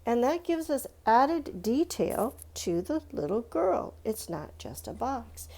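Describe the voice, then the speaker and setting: Woman, children's art teacher: low, very mellow voice with some gravel, informal, encouraging, talks through each step. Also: big pitch swings, slow pace. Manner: encouraging, thinking aloud, addressing young viewers with "let's" and check-ins. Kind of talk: step-by-step drawing lesson, informal and conversational.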